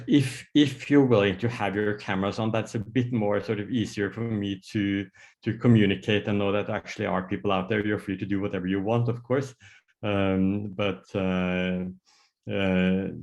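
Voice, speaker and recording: A man's voice: on the low side, darkish tone. A man with a Norwegian accent, a psychiatrist presenting an online workshop over a video call, hesitant with many fillers and restarts. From Norway. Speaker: psychiatrist giving a workshop talk.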